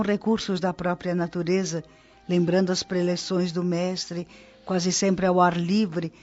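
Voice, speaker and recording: An actress with a Brazilian accent playing a devoted spirit-colony guide in a radio drama, explaining things in a reverent, articulate way. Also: female voice, mid-range voice, darkish tone, close to an everyday voice. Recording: dull lo-fi audio, clear music behind. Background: music.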